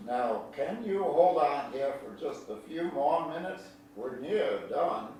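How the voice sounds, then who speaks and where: A man playing a calm, firm work boss in a staged play reading, measured and folksy. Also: a little high. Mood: placating. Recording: echoey room, distant, faint noise.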